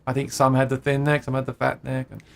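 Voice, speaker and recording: A man talks loosely through a live chat stream, reading out comments from viewers. His pitch sits in the middle range, and he often laughs and breaks off to restart a thought. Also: monotone, fast, vocal fry, crowd noise. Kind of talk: casual chat about guitars.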